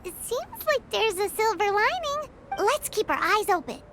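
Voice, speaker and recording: Tiny, extremely squeaky fairy companion. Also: female voice, sing-song, moderate pace, fairly bright tone, soft background music, exaggerated voice. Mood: wary, hopeful. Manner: squeaky, exclamatory, refers to self by name. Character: tiny childlike female fairy companion, excitable and wary. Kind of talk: video game character acting.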